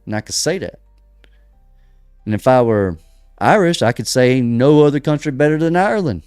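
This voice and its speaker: An American man preaches in a lively, swinging voice, firing off rhetorical questions with drawn-out pauses. His voice is close and dry, with faint music underneath. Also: medium pitch, smooth, no echo. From United States. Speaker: podcast host. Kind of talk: preaching-style religious commentary.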